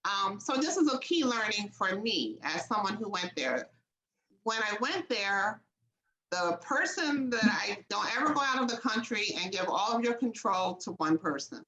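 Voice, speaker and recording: Host: bright, candid American woman on a video call, echoey room. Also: mid-range voice, expressive, normal speed. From United States. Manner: candid, storytelling. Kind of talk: casual video call discussion.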